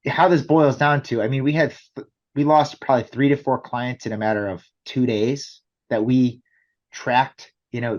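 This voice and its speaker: Slightly muffled, lo-fi audio carries a man's voice at an average pitch. He explains things conversationally as a company executive being interviewed, often checking in with short rhetorical questions.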